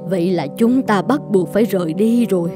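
A woman voicing a motherly older eatery owner in a cartoon, caring and gently insistent. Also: mid-range voice, brisk, music in the background, natural voice. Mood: worried. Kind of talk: animated moral-tale dubbing.

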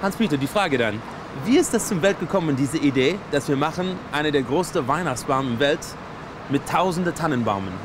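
A man's voice: fairly high pitch, animated, fairly quick, noisy background. An American man speaking German as a learner with small grammar slips, enthusiastically interviewing people outdoors at a Christmas market. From United States. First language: English. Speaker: host, touring reporter. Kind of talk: casual on-location interview. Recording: outdoor market with loud music. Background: music.

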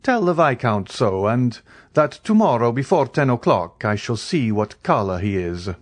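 A man's voice: medium pitch, big pitch swings, moderate pace, pitched above the performer's own voice. A man voicing a proud, mocking, coldly menacing aristocratic count in an audiobook, in a haughty, formal, declamatory manner. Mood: haughty, threatening.

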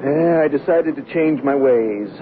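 An actor playing a wry, casual commuter who has sworn off gambling, in a radio drama. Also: male voice, a little high, measured pace, close to an everyday voice. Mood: wry resolve. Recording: noisy, tinny 1970s radio recording.

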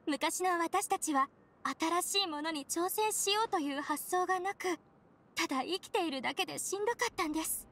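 A woman's voice: very high-pitched, normal speed, a bit bright. A woman playing a polite, earnest, contented young society member in game dialogue.

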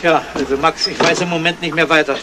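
An actor playing a businesslike, questioning police detective in a 1970s radio crime play. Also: male voice, fairly high pitch, fairly even intonation, fast, lightly acted. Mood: puzzled. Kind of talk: 1970s German radio crime drama acting. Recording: slightly noisy old radio recording.

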